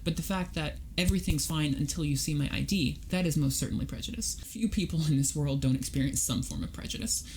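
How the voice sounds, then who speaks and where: A woman's voice: low-pitched, quick pace, hardly any pauses, a little mellow, slight background noise. A woman guest on a podcast, talking in a reasoned, explanatory way, laying out her arguments step by step. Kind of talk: casual conversational interview.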